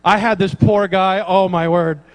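A man's voice: high voice, fairly even intonation, measured pace, long reverb tail, low-quality recording. A man preaching a sermon in church, telling funny stories and acting out the dialogue, laughing at his own jokes. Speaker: pastor.

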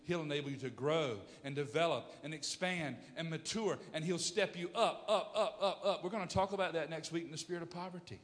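A pastor in his fifties preaching a sermon, emphatic, swinging from loud bursts to hushed storytelling. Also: on the high side, a little fast, few pauses, in a large hall.

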